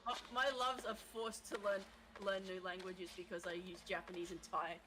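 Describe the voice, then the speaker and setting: A woman chatting casually on a stream, rambling and halting, dropping in Japanese phrases. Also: lowish voice, pushed and bright. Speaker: co-streamer guest. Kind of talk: casual chat.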